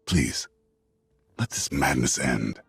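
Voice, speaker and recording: An actor playing a weary, remorseful man in a video game, with a very deep, husky voice. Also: expressive, slow pace, a bit bright, no echo, heavily acted. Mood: sorrowful. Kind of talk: dramatic game acting.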